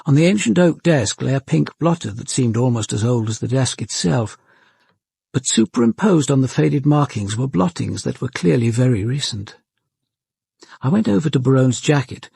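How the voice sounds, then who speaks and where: Serious detective in a radio mystery, really raspy w some fry, careful observant delivery. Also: male voice, medium pitch, animated, dark tone, no echo, heavily acted. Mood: grave, methodical. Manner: grave, deliberate, precise. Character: measured, observant male police detective narrating a murder investigation. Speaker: detective narrator. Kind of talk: radio crime drama narration and dialogue.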